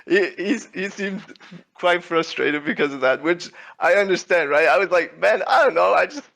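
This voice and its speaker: A man's voice: sits high, lively intonation, moderate pace, vocal fry, a bit bright. A young man from Switzerland, a tournament winner speaking as a guest in a casual interview. He talks in a laid-back, humble way, full of fillers and restarts. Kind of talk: casual video-call interview.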